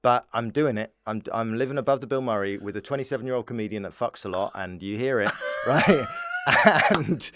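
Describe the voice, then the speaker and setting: A man's voice: mid-range voice, a little fast, very noisy. A man, chatting casually as a comedian guest, rambling, repeating himself and giggly.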